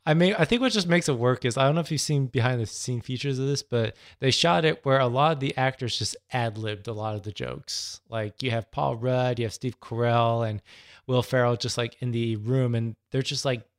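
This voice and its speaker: A man's voice: mid-range voice, normal speed. An American man co-hosting a casual film discussion, feeling his way through sentences in short, padded phrases. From San Diego, United States. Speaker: podcast co-host.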